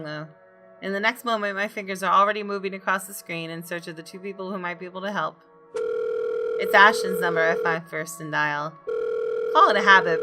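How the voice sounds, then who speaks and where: A woman reading visual novel story text aloud in a measured, expressive, deliberate way. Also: on the low side. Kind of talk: dramatic narration of story text. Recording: clearly noisy background.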